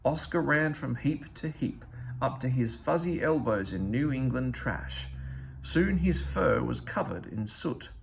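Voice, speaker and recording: Creaky-voiced man reading a children's story. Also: mid-range voice, animated, unhurried, noisy background. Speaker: storybook reader. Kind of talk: expressive children's read-aloud narration.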